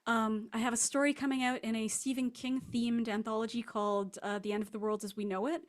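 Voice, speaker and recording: Woman, higher-pitched voice, guest author, casual interview chat, rambling, self-deprecating. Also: flat delivery, fairly quick. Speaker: guest fiction writer.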